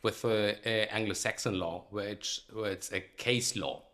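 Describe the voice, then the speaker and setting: Middle-aged man with a German accent, crisp bright tone, hesitant guest who pauses and fills often. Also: on the low side, fairly even intonation. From Galicia, Spain, raised in Germany. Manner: hesitant, many ums and uhs. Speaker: guest lawyer. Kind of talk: casual interview talk.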